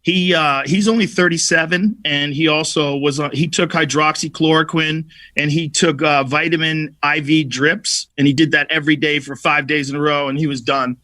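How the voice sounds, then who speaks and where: Male comic guest, bright voice a touch high, swearing storyteller, dry studio sound. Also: moderate pace, few pauses, dry recording. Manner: profane, animated storytelling. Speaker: comedian guest. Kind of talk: casual podcast chat.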